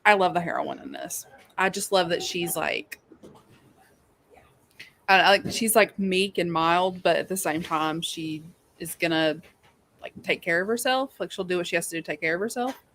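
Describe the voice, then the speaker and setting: A woman's voice: lowish voice, animated, normal speed, fairly bright tone, a little hiss or hum. A woman co-hosting a live readalong show, chatting about books in an informal, slangy, emphatic way. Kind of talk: casual book chat.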